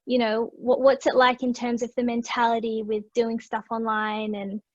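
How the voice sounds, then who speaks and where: An Australian woman chats easily in a slightly high voice, loose and full of fillers. The recording is dry and a bit muffled. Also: completely flat delivery, moderate pace, dry recording. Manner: chatty, loose, many fillers. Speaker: digital marketer for wineries. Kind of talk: casual conversation. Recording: dry, dull lo-fi sound.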